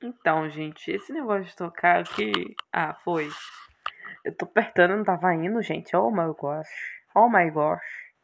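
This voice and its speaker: A woman's voice: lowish voice, unhurried, vocal fry. Casual Brazilian woman playing a game. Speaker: game streamer. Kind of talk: casual let's play.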